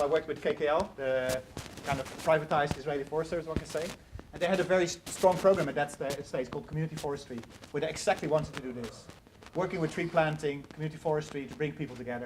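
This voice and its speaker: A middle-aged man lectures at a quick clip, earnest and prone to restarting words mid-thought. His voice sits a little high for a man. The recording carries clear background noise from the hall. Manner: earnest, repeats words while searching for phrasing. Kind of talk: academic lecture and Q&A answers.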